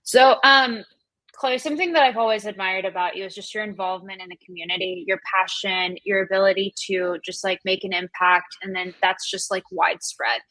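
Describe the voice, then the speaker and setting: Female interview host from Colombia, native Spanish speaker. Casual, affirming, mid-pitched, very little room sound. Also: fairly even intonation, fairly quick, pushed and bright, dry recording, low-quality recording. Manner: appreciative, affirming. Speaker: podcast host.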